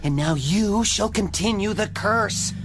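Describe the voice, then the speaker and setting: An actor playing an undead young American murder victim in an audio horror drama, wry and insistent, with a dramatic delivery. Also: male voice, high-pitched, unhurried, noisy background. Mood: urgent, ominous. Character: undead young male murder victim, chatty, pleading, doom-laden ghost. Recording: music behind the voice.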